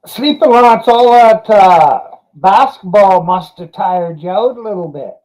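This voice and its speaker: Man, fairly high pitch, soft mellow tone, casual chat. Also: animated, a little slow, poor audio.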